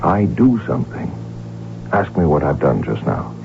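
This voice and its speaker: A man's voice: extremely low pitch, very animated, moderate pace, gravelly, background noise, heavily acted. A man playing a proud, ambitious, defiant archduke and devoted husband in a radio drama, speaking with grandiose, theatrical emphasis. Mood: indignant pride. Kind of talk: 1970s radio drama acting. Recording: muffled, humming old radio broadcast recording.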